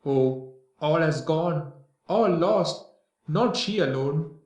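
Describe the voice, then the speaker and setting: A grieving male servant played by an actor speaks slowly and solemnly in tragic verse. He is reproachful and sorrowful, heard a little way from the mic in a roomy space. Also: fairly high pitch, expressive, darkish tone. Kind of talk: Greek tragedy in archaic verse. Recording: echoey room, distant from mic.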